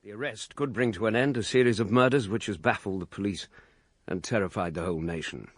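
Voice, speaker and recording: A man playing a dry, sceptical English radio crime reporter in a radio drama, speaking in a measured way. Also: lowish voice, lightly acted. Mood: measured reporting. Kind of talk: radio drama acting, news report delivery.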